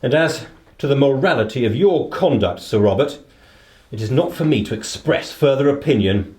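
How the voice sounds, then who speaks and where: A man playing an incisive, authoritative gentleman detective in a radio play, precise, formal and dry. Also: medium pitch, lively intonation, normal speed, reverberant, lightly acted. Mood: stern, probing.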